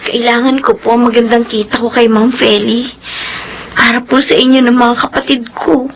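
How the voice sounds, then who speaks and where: An actress playing a meek, long-suffering young housemaid who speaks respectfully and apologetically in a radio drama. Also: female voice, fairly high pitch, little pitch movement, moderate pace, a little hiss or hum, lightly acted, low-quality recording. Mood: resigned, gentle.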